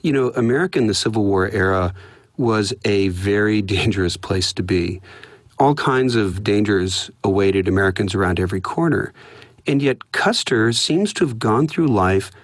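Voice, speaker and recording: A middle-aged man, an author, reading a passage and then answering questions in a measured, thoughtful way. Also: fairly low pitch, expressive, reverberant. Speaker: author discussing his biography.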